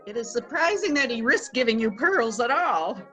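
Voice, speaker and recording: A woman playing an outspoken woman friend in an amateur stage reading, exclaiming and animated. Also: on the high side, moderate pace, faint music behind. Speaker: reader in a play reading. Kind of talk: amateur readers' theatre acting.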